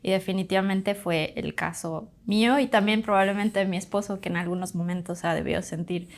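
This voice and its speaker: A woman in her thirties, a doctor from Bolivia, telling her migration story in a chatty, animated way as a podcast guest. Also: mid-range voice, brisk. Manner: chatty, animated, fond of "pues" and "literal". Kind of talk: casual interview storytelling.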